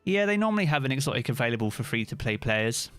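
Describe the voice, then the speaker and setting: A man's voice: mid-range voice, very animated, fast talker, fairly bright tone, smooth, dry recording, soft background music. A British man streaming live, chatting casually and answering viewers' comments by name.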